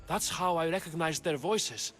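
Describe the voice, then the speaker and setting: An actor playing a theatrical, indignant and easily frightened Athenian politician in a video game. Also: male voice, fairly high pitch, normal speed, fairly bright tone, soft background music, natural voice. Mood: aggrieved. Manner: agitated, theatrical, wordy.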